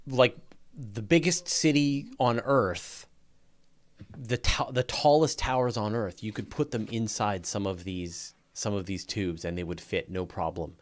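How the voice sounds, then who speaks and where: A man's voice: lowish voice, measured pace. A man with a Canadian accent, co-hosting a science podcast in an enthusiastic, casual chat. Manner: enthusiastic, animated. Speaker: podcast co-host.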